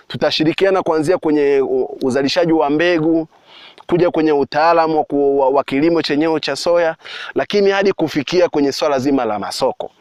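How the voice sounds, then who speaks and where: A man's voice: a little high, no echo, muffled recording. A man from Tanzania speaking for a farm company in a documentary, earnest and persuasive, with small restarts. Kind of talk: documentary address.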